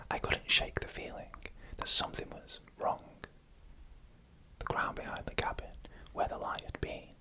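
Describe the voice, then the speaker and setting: A storyteller whispering an eerie first-person tale in ASMR style, unvoiced and breathy, with deliberate, suspenseful phrasing. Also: low-quality recording.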